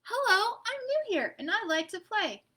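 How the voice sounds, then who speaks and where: A woman doing a high, bright little peanut butter boy, sing-song, hopeful, very lively swoops, storybook reading. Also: moderate pace, exaggerated voice. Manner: sing-song rhyming plea.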